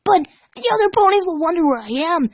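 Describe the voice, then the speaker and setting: A woman voicing a terrified female pegasus pony in a fan story, pleading haltingly and desperately for her life. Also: very high voice, very animated, no room sound, highs cut off, voice pushed up.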